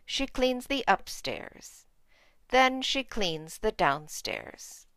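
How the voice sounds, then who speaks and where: A woman's voice: fairly high pitch, big pitch swings, very slow, a bit bright, no room sound. A woman reading a children's storybook aloud as narrator. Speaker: storybook reader.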